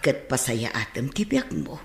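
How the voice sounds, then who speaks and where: A woman's voice: very deep voice, big pitch swings, normal speed, reverberant, heavily acted. A woman acting in a radio drama as a caring, firm older guardian who admonishes with expression.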